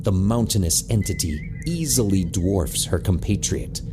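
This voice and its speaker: A man reading scripted audio drama narration as a deliberate, descriptive storyteller. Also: low-pitched, expressive, music in the background.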